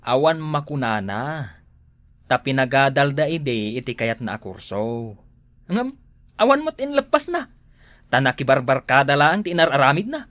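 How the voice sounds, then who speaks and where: A woman's voice: rumbling low, sing-song, normal speed, dry recording. A woman with an Ilocano accent acting a fretting, complaining village relative in a comedy drama. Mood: resigned, confiding.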